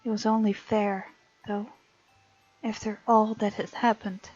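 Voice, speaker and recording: A woman's voice: medium pitch, level tone, unhurried, a little mellow, muffled recording. A woman hosting a visual novel let's play, reading the game text aloud expressively and adding chatty reactions. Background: game music.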